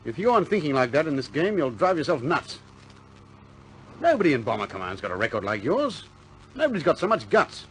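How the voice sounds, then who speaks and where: A man's voice: fairly high pitch, big pitch swings, brisk, slight background noise, low-quality recording. An actor playing a plucky, stubborn young RAF bomber airman in a 1950s British war film, blunt and informal in manner. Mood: firm, reassuring.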